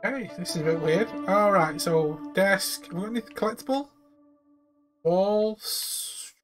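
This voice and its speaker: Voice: mid-range voice, expressive, brisk, fairly bright tone, faint music behind. Chatty streamer commenting on a game. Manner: chatty, thinking aloud, sweary. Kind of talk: casual live game commentary.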